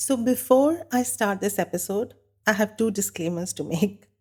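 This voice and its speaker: A woman hosting a podcast while she has a bad cold, sounding nasal and croaky, talking earnestly and candidly on her own. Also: medium pitch, lively intonation, moderate pace, darkish tone. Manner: earnest, candid, talks with a light laugh now and then. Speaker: podcast host. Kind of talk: solo podcast monologue.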